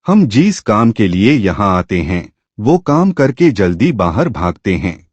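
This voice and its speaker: A man's voice: on the low side, sing-song, unhurried, breathy edge, dry recording, highs cut off, full character voice. A man playing a sceptical, plain-spoken village mason in a folk tale, questioning and grudgingly agreeable. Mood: bewildered.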